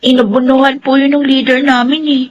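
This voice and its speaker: A woman's voice: sits high, little pitch movement, a little fast, lightly acted. A woman playing a polite, uncomplaining schoolgirl with a Filipino accent in a radio drama. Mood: shy, polite. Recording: dull lo-fi audio, faint noise.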